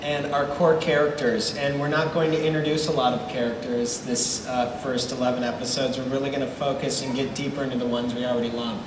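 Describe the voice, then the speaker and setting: A man's voice: mid-range voice, level tone, brisk, few pauses. A man speaking as a show creator on a live panel, playfully coy and enthusiastic. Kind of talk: live panel interview, teasing upcoming plots. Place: large indoor convention hall stage. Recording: echoey hall, distant, noisy background.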